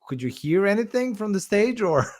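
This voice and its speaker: A man host with a Serbian accent, asking questions in a halting way with frequent restarts. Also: high voice, very animated, a little mellow, no room sound, studio quality. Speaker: podcast host. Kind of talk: casual interview questions.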